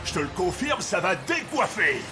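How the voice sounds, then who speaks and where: A blunt, powerful elemental warrior in a cartoon, with widely swinging intonation and booming battle calls. Loud music plays underneath. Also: male voice, sing-song, normal speed. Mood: grave. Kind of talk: French cartoon dub acting.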